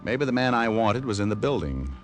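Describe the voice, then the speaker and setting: An actor playing a flippant, unflappable American private detective in an old radio drama, with dry wit in dialogue and narration. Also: male voice, on the low side, sing-song, brisk, faint music behind. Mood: wry narration.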